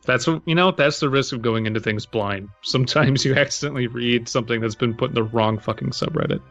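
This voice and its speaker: A man with a middling pitch chats casually as a podcast co-host. He is blunt and sweary, with faint music underneath. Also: fast, vocal fry, fairly bright tone, highs cut off. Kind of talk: casual chat.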